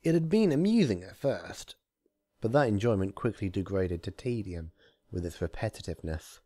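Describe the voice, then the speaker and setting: A man reading a story aloud as narrator, in an expressive scripted storytelling style. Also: fairly low pitch, moderate pace, no room sound, clean studio sound. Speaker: narrator, fan fiction reader.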